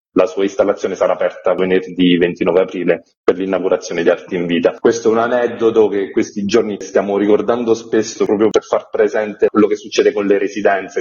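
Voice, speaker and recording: A man's voice: fairly low pitch, a little mellow, lo-fi sound. A man speaking as an arts festival director in an interview, explaining things informatively in long, flowing sentences. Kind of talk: informational radio interview answers.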